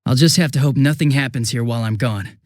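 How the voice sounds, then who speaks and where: A man's voice: mid-range voice, quick pace, no echo, studio quality, natural voice. A man voicing a goofy, chatty, hapless young police detective in a video game, with a casual, flustered, rambling manner. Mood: hesitant, thoughtful. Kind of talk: English video game voice acting.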